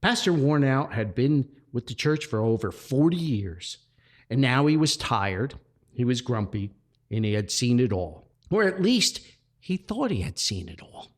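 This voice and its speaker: A man's voice: mid-range voice, sing-song, a little slow. A man hosting a podcast, talking and reading a story aloud in a folksy storytelling way. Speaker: podcast host, author.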